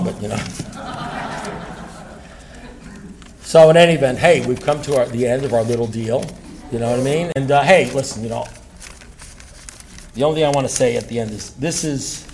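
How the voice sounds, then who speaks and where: A man with a high voice delivers an impassioned recovery talk to a crowd of listeners. He is blunt and emphatic, circling back to the same points and swearing now and then without apology. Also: a little hiss or hum. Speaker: speaker at a recovery meeting.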